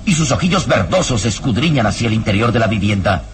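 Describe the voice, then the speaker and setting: An actor serving as the solemn, suspenseful narrator of an old radio serial. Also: male voice, on the low side, big pitch swings, quick pace, loud music behind the voice. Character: solemn storyteller of suspense tales.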